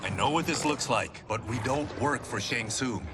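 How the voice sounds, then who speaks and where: A man's voice: medium pitch, noisy background, close to an everyday voice. An actor playing an earnest, level-headed swordsman, speaking seriously in a video game cutscene. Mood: urgent, reasoning. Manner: measured, serious, sincere.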